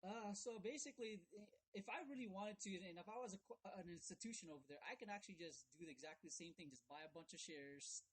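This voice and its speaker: A man calling a brokerage rep, casually explaining things in wordy, halting stretches and often asking for confirmation. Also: sits high, slightly rough. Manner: wordy, halting explanations with repeated check-in questions. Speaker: caller questioning a brokerage rep. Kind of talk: casual phone conversation, checking his understanding.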